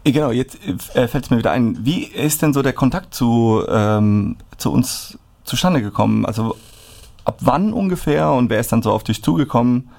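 A man interviewing a new player for the club in a relaxed, loose way. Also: mid-range voice, normal speed, gravelly. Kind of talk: casual interview.

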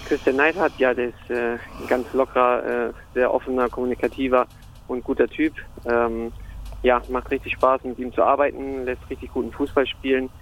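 A man's voice: medium pitch, little pitch movement, normal speed, a bit bright, a little hiss or hum, poor audio. A young man from Hamburg, a football player answering interview questions casually and hesitantly, with many fillers. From Germany (Hamburg).

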